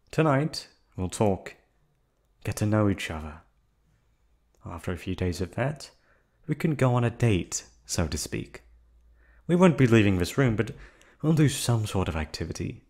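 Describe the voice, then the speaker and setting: A man voicing a calm, unhinged yandere receptionist who has kidnapped the listener, in an ASMR audio drama. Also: fairly low pitch, full character voice. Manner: soft, coolly reasoning, menacing. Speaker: voice actor.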